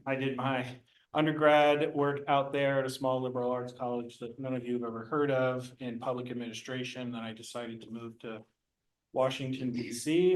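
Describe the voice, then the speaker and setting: A man's voice: medium pitch, echoey room, rough recording. A man from California introducing himself as a board candidate at a public meeting, friendly and self-deprecating. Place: community meeting room.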